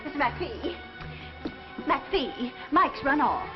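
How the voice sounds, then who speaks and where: A woman's voice: sits high, very animated, extremely slow, exaggerated voice. An actress playing a fretful, scolding mother with a fussy, exasperated manner in a 1940s film. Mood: anxious. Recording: muffled vintage film soundtrack with music.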